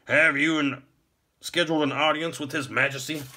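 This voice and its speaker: Guy putting on a stuffy formal castle gatekeeper, higher voice, storybook style. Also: steady tone, moderate pace, pushed and bright. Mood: officious. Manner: stiff, formal. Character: stiff, pompous male gate warden, formal and officious.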